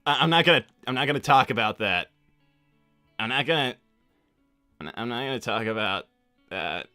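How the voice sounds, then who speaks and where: A man voicing a cocky, easily exasperated teenage cartoon hedgehog, chatting off the cuff on a livestream in a casual, halting way that repeats itself. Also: mid-range voice, lively intonation, bright, forceful tone, smooth, dry recording, clean studio sound, faint music behind. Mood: evasive, casual. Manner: casual, halting slacker drawl full of repetition. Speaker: livestream host answering viewer questions in character. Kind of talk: improvised in-character livestream chat.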